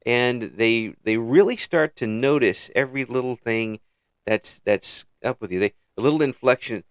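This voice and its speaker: A man's voice: medium pitch, moderate pace, clear, resonant tone, dry recording. A man hosting a casual interview. He chuckles often and restarts his phrases. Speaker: interview host.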